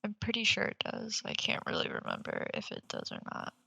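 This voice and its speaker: Bright, creaky, lowish-voiced woman podcaster. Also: huge pitch swings, brisk, no room sound. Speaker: podcast co-host. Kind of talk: casual gaming podcast chat.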